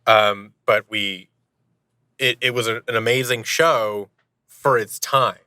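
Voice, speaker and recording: Bright-voiced man telling career stories. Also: fairly low pitch, steady tone, slow, clean tone, no room sound. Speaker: podcast guest, audio drama producer and actor. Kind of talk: casual conversational storytelling.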